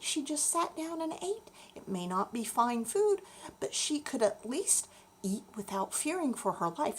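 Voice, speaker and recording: A woman telling a bedtime story to children, expressive and deliberate, explaining things as she goes. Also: high voice, slightly rough.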